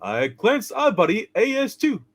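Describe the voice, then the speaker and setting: A man's voice: high voice, wildly swooping pitch, moderate pace, heavily acted. A man voicing a kindly, fussy, eccentric old guardian angel in a hammed-up radio play reading, with chirpy, theatrical politeness. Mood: bright, insistent. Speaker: channel co-host.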